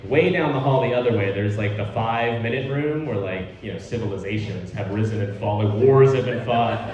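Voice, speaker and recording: A man's voice: lowish voice, fairly quick, heavy reverb, lo-fi sound, a crowd in the background. A man doing a stand-up comedy set in a rambling, profane, conversational way in a live venue. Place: live comedy show, on stage.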